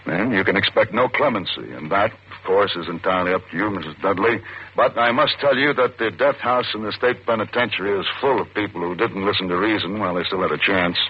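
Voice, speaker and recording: An actor playing a stern, forceful American deputy district attorney in an old-time radio suspense drama, commanding, clipped and formal. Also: male voice, deep voice, fast, husky. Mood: stern, pressing. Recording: muffled, noisy old radio recording.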